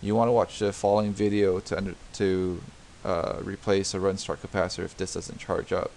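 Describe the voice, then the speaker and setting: A man's voice: deep voice, level tone, fairly quick, a little mellow, slight background noise. A man narrating a home repair tutorial over a diagram, explaining step by step with many fillers and restarts. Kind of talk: unscripted screen-recorded tutorial narration.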